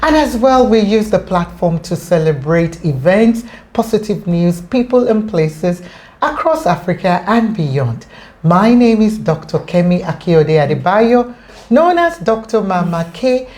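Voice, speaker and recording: A woman hosting an online talk show, chatting warmly with a guest and heaping compliments on her. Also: medium pitch, very animated, a little slow. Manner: effusive, showers the guest with compliments. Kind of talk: warm interview chat. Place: in the guest's design studio among clothes.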